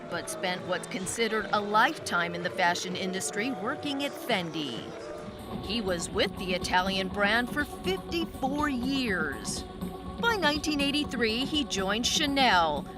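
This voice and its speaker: A woman reporter narrating a scripted news feature. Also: medium pitch.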